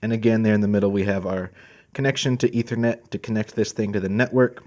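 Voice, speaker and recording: Guy hosting a casual unboxing vid, lowish mellow relaxed voice. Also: quick pace. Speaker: host of a product channel. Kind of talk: casual product unboxing presentation.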